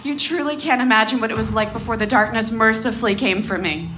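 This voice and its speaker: An actress playing a grave, defiant female survivor, recounting trauma in a dramatic, deliberate way for horror audio fiction. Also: fairly high pitch, fairly bright tone, lightly acted. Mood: haunted. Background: music.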